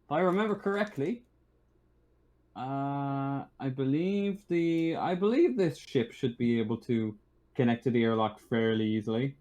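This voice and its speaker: A man runs a tabletop roleplaying game in a casual, hesitant style. His voice is mid-pitched and recorded dry and close. He narrates in halting phrases, often searching for the next word. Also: animated, warm tone, no echo. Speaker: game master.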